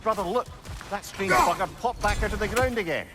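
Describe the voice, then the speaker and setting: An actor playing a chatty, learned older Scottish sage in a video game, wordy and droll in manner. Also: male voice, sits high, a little fast, loud music behind the voice, close to an everyday voice. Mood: startled. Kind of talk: video game dramatic acting.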